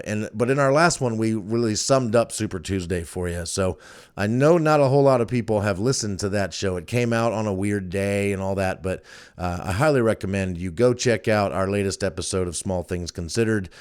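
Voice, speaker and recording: Smooth low-voiced man hosting a podcast. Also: darkish tone. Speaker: podcast host. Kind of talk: casual solo podcast chat.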